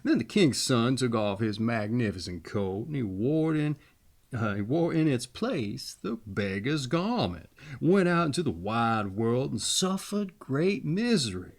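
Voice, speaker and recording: A man's voice: medium pitch, sing-song, measured pace. A man reading fairy tales expressively as a storyteller, with folksy, theatrical phrasing and casual asides.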